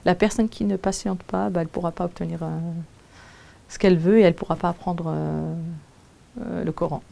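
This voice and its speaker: A Quran teacher who is a native Arabic speaker gives a halting personal testimony, often pausing to find her words. Her voice is low and gravelly, mellow in tone, with a flat, even delivery. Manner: hesitant, searching for words with many pauses.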